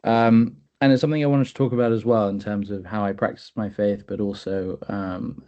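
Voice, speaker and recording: A man in his twenties from England, speaking in a thoughtful, halting, earnest way as a webinar panelist. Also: fairly low pitch, steady tone, darkish tone, muffled recording. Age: 20s. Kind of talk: personal talk in a webinar. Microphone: video call.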